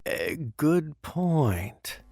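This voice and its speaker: An actor playing a patient, deferential presidential aide in a comedic audio drama, speaking in a measured deadpan. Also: male voice, fairly high pitch, creaky, dry recording, exaggerated voice. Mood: patient, firm.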